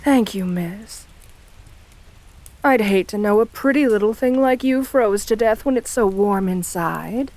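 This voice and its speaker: A woman's voice: mid-range voice, unhurried, darkish tone, slight background noise, natural voice. A woman playing an assertive, flirtatious vampire countess in an ASMR roleplay, speaking to the listener in a genteel, doting way. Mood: gracious, flirtatious. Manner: genteel, doting, uses pet names.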